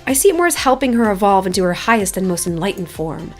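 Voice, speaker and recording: A woman's voice: medium pitch, very animated, fast, voice pushed down, close to an everyday voice. A woman voicing an earnest, determined young female horse rider from a game, solemn and pleading. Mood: defiant, pleading. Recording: music behind the voice.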